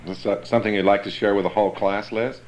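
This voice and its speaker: A man teaching a Bible class in a sermon style, explaining slowly and checking that his listeners understand. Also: on the low side, steady tone, low-quality recording.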